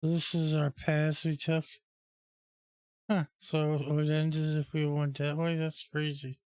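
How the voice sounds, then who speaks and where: A man's voice: fairly high pitch, completely flat delivery, takes it slowly, clear, resonant tone, no room sound, studio quality. A man giving laid-back, casual gameplay commentary in brief reactions. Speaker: gamer playing through a story quest.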